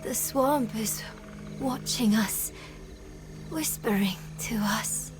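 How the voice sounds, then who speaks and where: An actress playing an awed young woman seer in a video game, hushed and often whispering in wonder. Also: fairly high pitch, takes it slowly. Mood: hushed awe. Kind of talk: dramatic video game acting. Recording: loud game music behind.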